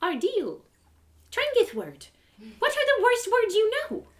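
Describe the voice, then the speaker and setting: A woman's voice: extremely high pitch, wildly swooping pitch, slow pace, pitched above the performer's own voice, heavily acted. A woman voicing an eager, childlike, giggly elf who speaks in quaint clipped phrases with dropped articles in a fantasy story. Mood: earnest.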